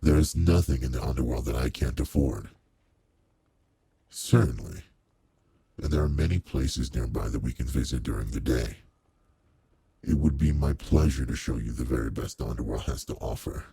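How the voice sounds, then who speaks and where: A man voicing a suave, teasing aristocratic demon lord in an intimate roleplay audio drama, with a deep, gravelly, husky voice and a leisurely manner. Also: warm tone, no room sound, exaggerated voice. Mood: generous, amused.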